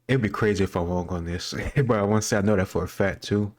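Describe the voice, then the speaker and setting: A man's voice: lowish voice, fast talker. A man giving casual, off-the-cuff commentary as he answers quiz questions, wandering into explanatory asides.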